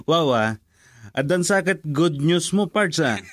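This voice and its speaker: A man's voice: on the high side, animated, slow, a bit bright, no echo, exaggerated voice. An actor playing an easygoing, upbeat male buddy in a radio drama.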